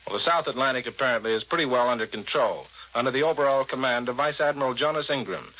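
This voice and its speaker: A man's voice: medium pitch, quick pace, muffled recording. An American man working as a radio news reporter and interviewer, in scripted 1940s broadcast style.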